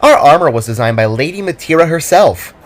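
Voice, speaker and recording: A man's voice: on the high side, animated, fairly quick, pitched above the performer's own voice, close to an everyday voice. A man voicing an upbeat female alien pop star in a homemade audio drama. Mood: cheerful.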